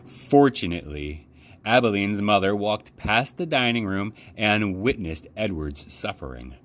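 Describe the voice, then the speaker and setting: Guy reading a kids book out loud, kinda low voice that swings around a lot, measured storyteller delivery. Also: sing-song. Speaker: library storytime host reading aloud. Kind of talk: expressive children's book read-aloud.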